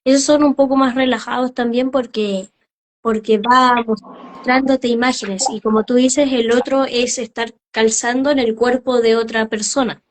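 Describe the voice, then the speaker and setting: A woman's voice: a little high, fairly even intonation, moderate pace. A woman hosting a casual interview, asking curious, rambling questions about acting and dubbing. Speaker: interview host.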